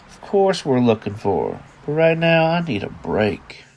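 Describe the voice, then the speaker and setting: A man's voice: mid-range voice, sing-song, measured pace, a little mellow, slight background noise. A man acting the part of a weary, teasing father of a teenage daughter in an audio drama. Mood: wry, easygoing.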